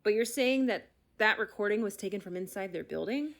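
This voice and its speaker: An actress playing a frustrated, incredulous middle-aged zoo doctor, telling her story emphatically with dramatic pauses in a podcast-style audio drama. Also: mid-range voice, lively intonation, natural voice. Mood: skeptical. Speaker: expert interviewee.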